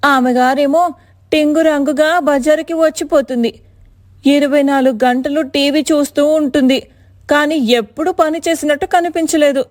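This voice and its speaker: A high, dry-sounding voice of an angry village woman, acted by a woman for a cartoon moral story. She is taunting and sarcastic, scolding like a customer who has been let down. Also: steady tone, moderate pace, darkish tone, no room sound, close to an everyday voice. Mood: scornful scolding. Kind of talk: animated moral story dialogue.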